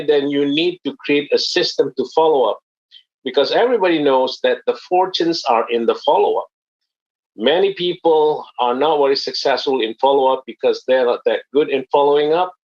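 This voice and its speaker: A man's voice: medium pitch, highs cut off. A man from Indonesia giving an earnest, explanatory business webinar talk with frequent fillers. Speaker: network marketing presenter.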